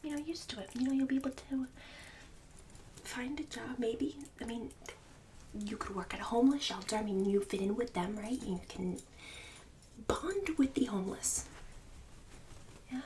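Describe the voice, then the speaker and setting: A woman's voice: on the high side, normal speed, long pauses, a little mellow, distant mic, slight background noise, lightly acted. A woman playing a snobbish, bossy suburban book club hostess in an ASMR roleplay, condescending and catty with fake-sweet insults. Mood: catty, judgmental. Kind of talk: ASMR roleplay, whispered and soft-spoken.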